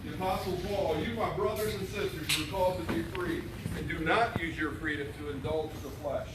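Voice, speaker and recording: A man's voice: on the high side, normal speed, heavy background noise. Earnest man leading a prayer. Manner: earnest, devotional. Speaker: prayer leader. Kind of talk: spoken scripture reading and prayer.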